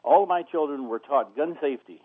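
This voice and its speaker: An older man in his late sixties phones in to a radio show over a narrow, tinny line. His voice is fairly high for a man, and he presses his point insistently, repeating phrases in a halting way. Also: no room sound. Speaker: radio call-in caller. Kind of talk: argumentative phone-in talk.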